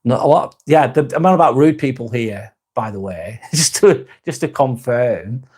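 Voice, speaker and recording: Man, mid-pitched, rambling live-stream host, laid-back, swears casually. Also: normal speed, breathy edge. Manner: relaxed, rambling, frequent restarts and swearing. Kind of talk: casual live chat reading comments.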